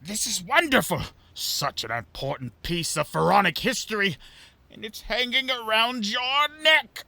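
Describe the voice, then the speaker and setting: A man's voice: high-pitched, expressive, measured pace, gravelly, sharp tone, no room sound, heavily acted. A man playing a greedy, effusive, wheedling museum owner in a theatrical audio drama. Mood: gushing, pleading. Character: gushing, greedy, self-centred male museum owner, scheming.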